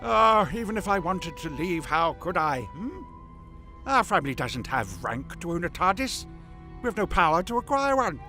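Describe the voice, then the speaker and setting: A flustered, fussy Time Lord with the ways of an old gentleman , acted by a man. His voice sits fairly high and bright for a man, and he dithers and hems his way through sentences over faint music. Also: very animated, full character voice. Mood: anguished, reluctant. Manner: hesitant, halting, fussy, adding "hmm?" and "my dear". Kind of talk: audio drama acting.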